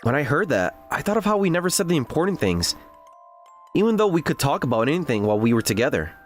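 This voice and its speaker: A man's voice: a little high, sing-song, quick pace, faint music behind. A man voicing a young boyfriend who bickers but is devoted, earnest and teasing, in a dramatized romantic comedy manga dub. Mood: earnest, apologetic. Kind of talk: dramatized romantic comedy dialogue and inner narration.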